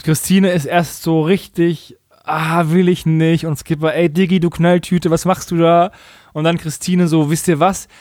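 Higher-pitched man, slangy podcast banter. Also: flat delivery, moderate pace, a little mellow, no echo, studio quality. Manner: slangy, mockingly acts out quoted dialogue. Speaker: podcast co-host. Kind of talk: casual comedic recap chat.

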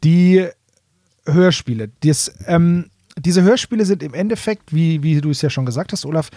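A man hosting a casual fan podcast, explaining things in an animated, colloquial way. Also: on the high side, moderate pace, no echo, clean studio sound. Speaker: podcast host presenting audio dramas.

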